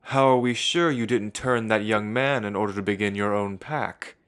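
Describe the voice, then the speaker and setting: A proud werewolf council elder, voiced by a man, contemptuous and cold toward the young man before him. His voice sits fairly low. He sounds ready to make a threat at any moment in this audio drama. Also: moderate pace, a bit bright, smooth, close to an everyday voice.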